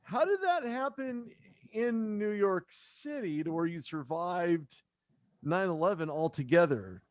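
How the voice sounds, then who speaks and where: Guy hosting a chat show, kinda high voice, dry close sound. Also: lively intonation, unhurried, no echo. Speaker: host. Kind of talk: casual interview talk.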